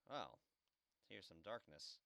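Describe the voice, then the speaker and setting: A man with a lowish, gravelly voice chats through a game as its host. His delivery is dry and casual, recorded close with no room sound. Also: very animated, slow pace, bright, forceful tone, no room sound. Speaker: gamer, let's play host.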